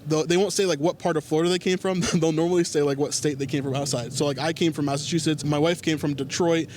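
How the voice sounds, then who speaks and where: A man hosting a faith vlog, talking casually and explaining things, often filling pauses with "like". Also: on the high side, steady tone, a little mellow, a little hiss or hum. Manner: chatty, with frequent "like" fillers. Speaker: vlog host.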